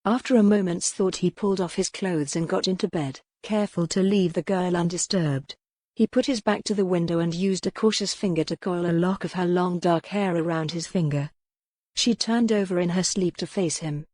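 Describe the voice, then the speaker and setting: A woman's voice: lowish voice, moderate pace, darkish tone, dry recording. A woman reading scripted historical romance fiction as an audiobook narrator.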